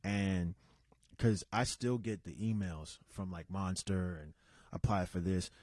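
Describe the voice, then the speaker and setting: Guy hosting a chill podcast, lowish voice, dry close sound, rambles and restarts a lot. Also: steady tone, takes it slowly, no room sound. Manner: rambling and conversational, with many restarts and asides; reads out shout-outs to live listeners. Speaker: podcast host. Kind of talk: casual unscripted podcast chat.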